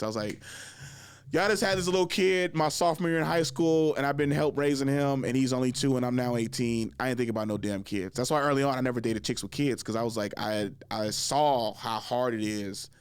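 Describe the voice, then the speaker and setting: A man in his thirties hosting a casual chat, telling stories in a joking, slangy way, often laughing and jumping high in pitch when excited. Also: smooth. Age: 30s. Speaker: podcast host.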